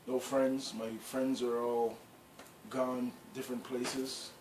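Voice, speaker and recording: A man's voice: mid-range voice, level tone, slow, darkish tone. A middle-aged man from Guyana, telling in a rambling, casual way how his clothing brand began, full of "you know" and restarts. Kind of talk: casual storytelling interview. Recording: echoey room with faint background noise.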